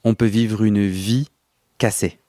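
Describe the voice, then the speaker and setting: A man in his late thirties with a Parisian accent, hosting an intimate podcast interview in a reflective, animated, casual way. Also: lowish voice, takes it slowly, no room sound, studio quality. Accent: Parisian French. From mainland France. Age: 30s. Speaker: podcast host.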